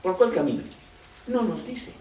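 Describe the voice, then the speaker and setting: Slow lively preacher guy, asks lots of questions, sounds far from the mic in an echoey room. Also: high-pitched. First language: Spanish. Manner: slow, conversational, with rhetorical questions. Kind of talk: sermon. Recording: roomy echo, off-mic, faint background noise.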